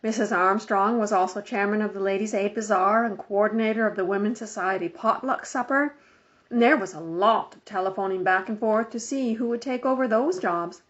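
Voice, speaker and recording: A woman's voice: mid-range voice, a little fast. Woman reading a children's book, slightly muffled. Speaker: storybook reader. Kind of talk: expressive scripted children's book narration. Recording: dull lo-fi home recording.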